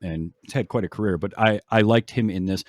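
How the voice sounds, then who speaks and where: A man hosting a casual film discussion, explaining things in a measured, halting way. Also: fairly low pitch, no room sound, studio quality. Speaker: podcast host.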